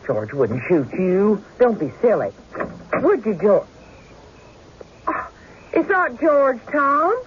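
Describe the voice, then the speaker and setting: Lively, scolding frontier wife. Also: female voice, mid-range voice. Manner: brisk, exasperated, no-nonsense. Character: sharp-tongued, loyal, scolding frontier wife. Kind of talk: old-time radio drama acting. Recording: dull, lightly noisy radio recording.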